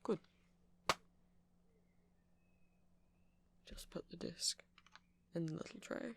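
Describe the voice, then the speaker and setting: A man playing a nerdy vampire in an ASMR roleplay, rambling through explanations in a hesitant, meandering way with a creaky voice. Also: high voice, moderate pace, heavily acted. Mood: soft, teasing. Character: nerdy male vampire, rambling tech enthusiast, gentle boyfriend. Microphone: close mic, dry.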